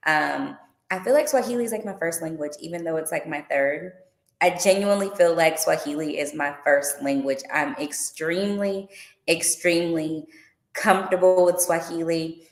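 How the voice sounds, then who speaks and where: A woman hosting a casual livestream Q and A about her tours, chatty and upbeat, with frequent fillers. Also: deep voice. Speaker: tour organizer and boutique owner. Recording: echoey room.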